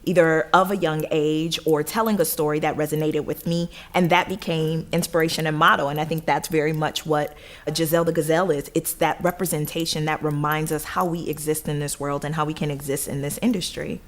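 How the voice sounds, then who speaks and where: An American woman from Detroit speaking as an interview guest, casual, effusive and expressive. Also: low-pitched, fairly quick. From Detroit, United States. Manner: effusive, expressive, laughs easily. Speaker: theater director, interview guest.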